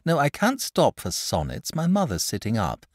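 A man's voice: medium pitch, huge pitch swings, moderate pace, dry recording, clean studio sound, pitched above the performer's own voice. A man voicing an earnest, sensible young boy who talks in a fretful, lecturing way. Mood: exasperated.

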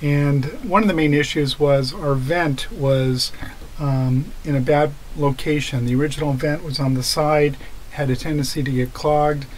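A middle-aged man with a slightly high voice talks hesitantly through boat repairs, filling the gaps with ums. He is close to the camera in a cramped sailboat cabin, with a faint hiss behind him. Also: steady tone, measured pace, poor audio. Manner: hesitant, many ums, matter-of-fact. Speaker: sailing vlogger. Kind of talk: casual explanation of boat repairs. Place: inside a small sailboat cabin.

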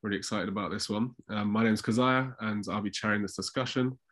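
A man with a fairly deep voice hosts a panel over a video call. He sounds nasal and bunged up with a cold as he makes introductions. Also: steady tone, brisk, a bit bright. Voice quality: nasal, congested. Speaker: panel chair. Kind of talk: introducing an online panel discussion. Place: indoors at home on a video call. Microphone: video call microphone.

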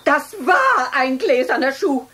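A woman's voice: high-pitched, very animated, slow pace, noisy background, exaggerated voice. A woman playing a haughty, spiteful stepmother in a children's audio play. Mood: gloating.

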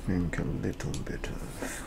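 A young man playing a pompous, doting model collector in soft-spoken ASMR roleplay, half-whispered and gravelly, with slow, formal, deliberate phrasing. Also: heavy background noise, lightly acted. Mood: pleased, savouring. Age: young adult. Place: small indoor studio, close up.

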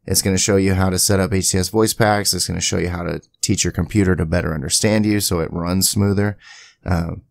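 A man giving a casual software tutorial in a conversational way, with chatty asides, as the creator of the video. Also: low voice, fairly even intonation, fairly quick, vocal fry, dry recording. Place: indoors at a home PC, voice-over to screen capture.

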